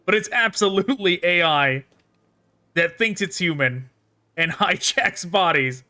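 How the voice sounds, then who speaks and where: Man, high-pitched voice, bright tone, casual gaming commentary, rambling musings. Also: lively intonation, unhurried. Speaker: video game streamer.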